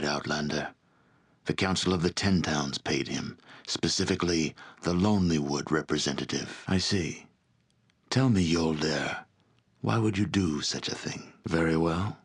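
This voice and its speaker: An actor playing a proud, domineering barbarian chieftain with a dragon's spirit in a video game, speaking with gravelly, imperious contempt. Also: male voice, deep voice. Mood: stern, defiant, then stern, judging. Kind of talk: dramatic video game voice acting.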